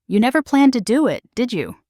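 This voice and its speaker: A woman voicing a calm, firm husband who is fed up, speaking in a steady, measured way. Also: a little high, no echo, clean studio sound, voice pushed up.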